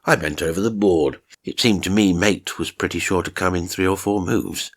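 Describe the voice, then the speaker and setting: Deep-voiced man narrating a horror story. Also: moderate pace. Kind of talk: dramatic first-person horror story narration.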